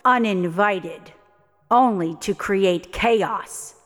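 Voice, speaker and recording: A woman's voice: mid-range voice, very slow, soft background music. An actress playing a dignified, anxious fairytale queen in an audio drama, proper and earnest. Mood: reproachful. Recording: long hall reverb.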